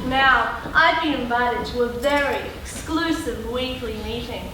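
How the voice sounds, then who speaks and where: A woman's voice: on the high side, lightly acted. A woman playing a wry, showy presenter in an amateur comedy stage play. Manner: showy, drawn-out announcing. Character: wry, showy female presenter introducing superheroes. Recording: echoey hall from far off, very noisy.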